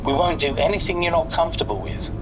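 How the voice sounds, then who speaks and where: A man's voice: a little high, animated, quick pace, noisy background, close to an everyday voice. A man playing a businesslike, accommodating racing team member in a video game. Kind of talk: naturalistic game acting.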